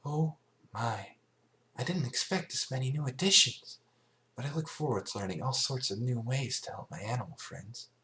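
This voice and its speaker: A man voicing a gentle, timid young female pegasus pony, soft and politely hesitant, in a cartoon fan story. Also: medium pitch, normal speed, pitched above the performer's own voice.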